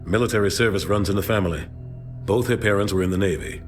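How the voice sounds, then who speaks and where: A grave senior military man, voiced by an actor in a game cutscene, with a deep voice. He speaks with solemn assurance over faint music. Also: quick pace, close to an everyday voice. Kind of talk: video game cutscene dialogue.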